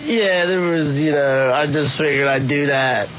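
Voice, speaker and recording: A man chatting casually and a little defensively as a radio guest, halting and rambling like a slacker. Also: on the high side. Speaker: aspiring comedian, radio guest. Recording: tinny phone-like sound, noisy radio tape.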